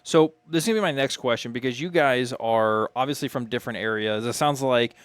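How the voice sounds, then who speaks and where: A man's voice: mid-range voice, a little fast, smooth, no echo. A man hosting an interview for a school TV studio, asking guests questions. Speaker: interviewer and host. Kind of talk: student TV interview.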